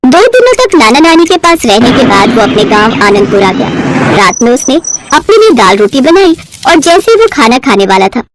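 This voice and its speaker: A woman's voice: sits high, big pitch swings, moderate pace, noisy background. A woman narrating a scripted children's story expressively, as a storyteller.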